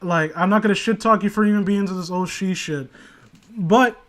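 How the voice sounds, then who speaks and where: Male co-host for comedic commentary, higher register, casual, rambling, sarcastic and crude. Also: fairly quick, fairly bright tone.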